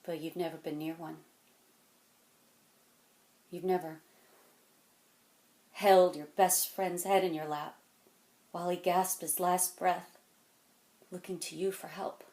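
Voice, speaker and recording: A woman performing a dramatic monologue as a weary, wounded, stern mentor, speaking deliberately and intensely, moving between hushed and forceful. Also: lowish voice, fairly even intonation. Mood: hushed, grave. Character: weary, wounded, stern mentor confronting a cocky young genius. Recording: echoey room.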